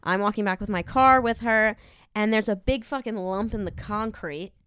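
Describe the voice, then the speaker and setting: A woman's voice: mid-range voice, normal speed, a bit bright, clean tone, no room sound, studio quality. Bubbly, giggly young woman guest. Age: young adult. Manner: giggly, bubbly storytelling with frequent laughs. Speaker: podcast guest. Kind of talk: casual chat.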